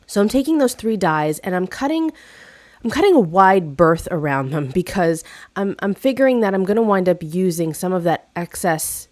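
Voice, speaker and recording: A woman with a lowish voice walks through a craft project in a casual, chatty way, full of little fillers and restarts. She sounds slightly hoarse from a cold. The recording is dry and close, with no room sound. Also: animated, normal speed, a little mellow, no room sound. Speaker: craft video host. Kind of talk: casual craft tutorial narration.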